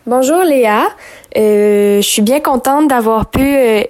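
A woman's voice: on the high side, unhurried, a little mellow, no room sound. A woman, speaking hesitantly with fillers in a voice message. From Quebec, Canada. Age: 20s. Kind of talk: personal voice message.

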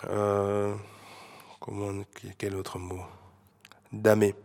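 Low, flat-voiced Belgian man. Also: extremely slow. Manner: hesitant, many fillers, switches between French and Dutch. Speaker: interviewee. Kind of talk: casual explaining of slang.

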